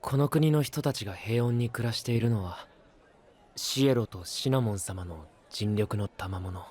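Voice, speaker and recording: An actor playing a calm, polite young guide in an anime voice drama, explaining things in a measured, earnest way. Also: male voice, lowish voice, husky. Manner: measured, explanatory, like reading a manual. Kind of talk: Japanese anime voice drama acting.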